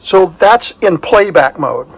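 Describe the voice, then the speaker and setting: Male hobbyist host, slightly high voice, plainspoken, walks viewers through technical steps casually. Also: animated, takes it slowly, vocal fry, breathy edge, dry recording, poor audio. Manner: plainspoken, talks through each step. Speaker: host, electronics repair hobbyist. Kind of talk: hands-on demonstration and explanation, casual.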